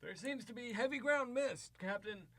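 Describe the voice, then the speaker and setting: A man voices a nervous young navy lieutenant aboard a rocket ship in an old-style radio drama. His high, bright voice rattles off brisk readings. The recording is close and dry. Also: no echo. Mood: dutiful. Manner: brisk crisp reports, edgy.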